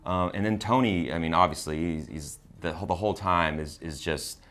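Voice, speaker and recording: Brief: male review co-host, deep voice, casual, halting, agreeable conversational delivery. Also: fairly bright tone. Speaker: co-host of a film review show. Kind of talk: casual unscripted discussion.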